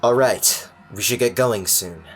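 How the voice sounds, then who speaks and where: A man voicing a haughty, cold, dismissive elite high-school student in a game dub, condescending and curt. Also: unhurried, soft background music, lightly acted. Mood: cool, businesslike. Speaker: voice actor in a fan dub.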